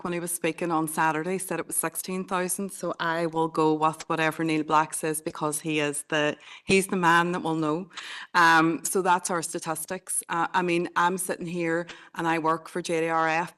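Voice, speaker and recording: Forthright Northern Irish woman, low flat voice. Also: clean tone. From Northern Ireland. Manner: earnest, forthright advocacy. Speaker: charity representative. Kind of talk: addressing a council committee.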